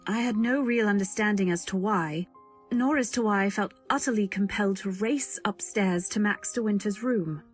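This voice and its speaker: A woman narrating and acting in an old-time style radio drama, with a gentle, earnest and hesitant way of speaking. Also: mid-range voice, moderate pace, faint music behind. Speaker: narrator and lead actress.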